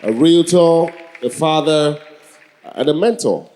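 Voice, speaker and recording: Mellow Nigerian man hosting on stage. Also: fairly high pitch, slow pace, soft background music, poor audio. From Lagos, Nigeria. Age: early 40s. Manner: joking, repetitive, rhetorical questions to the crowd. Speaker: event co-host, master of ceremonies. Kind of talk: live stage hosting with improvised banter.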